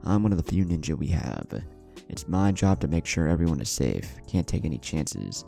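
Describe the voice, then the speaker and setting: A man voicing a gruff but kindly, dutiful ninja guard in a story, talking in an earnest, conversational way. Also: extremely low pitch, sing-song, normal speed, dark tone, soft background music, heavily acted. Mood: gruff, friendly.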